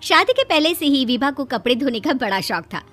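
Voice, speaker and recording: Casting: female narrator for kids' moral stories, high voice, music underneath. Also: very animated, fairly quick, a bit bright. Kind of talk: scripted children's story narration. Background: background music.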